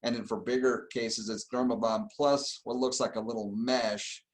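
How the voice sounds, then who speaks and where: A man's voice: medium pitch, flat delivery, moderate pace. A middle-aged man from Argentina, a surgeon being interviewed, explaining things in an easygoing, conversational way. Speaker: plastic surgeon, guest. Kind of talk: casual interview.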